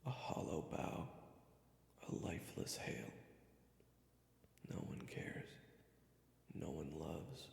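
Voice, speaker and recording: A man playing an impatient, burdened young royal in an audio drama, intense and dramatic, with a breathy, creaky voice. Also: rumbling low, lively intonation, takes it slowly, long pauses, in a whisper, full character voice. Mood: haunted, despairing. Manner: intense, dramatic, swings between shouts and hushed murmurs. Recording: echoey hall reverb, faint music underneath.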